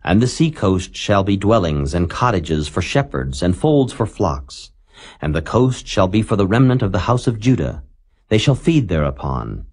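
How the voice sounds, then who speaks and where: A man with a very low voice narrates scripture solemnly. His delivery is measured and declamatory, with pitch that rises and falls widely from phrase to phrase. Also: very animated, darkish tone, slightly rough. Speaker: Bible narrator.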